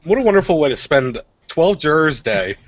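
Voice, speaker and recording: An American man co-hosting a casual radio show, talking haltingly and repeating words as he starts. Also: on the high side, very animated, a little hiss or hum. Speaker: radio show co-host and activist blogger. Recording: dull, lo-fi audio.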